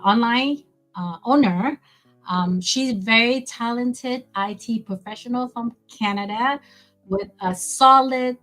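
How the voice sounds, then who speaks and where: Middle-aged woman hosting an online chat, slow and halting, fairly high voice, soft music behind. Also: low-quality recording. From California, USA. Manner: slow, halting speech with fillers. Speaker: host. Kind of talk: casual online interview.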